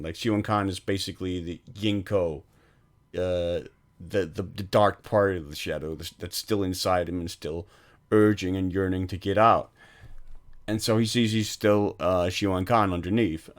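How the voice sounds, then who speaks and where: A man co-hosting a film podcast, retelling movie scenes in a rambling, casual way with many restarts and laughs. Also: deep voice. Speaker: podcast co-host.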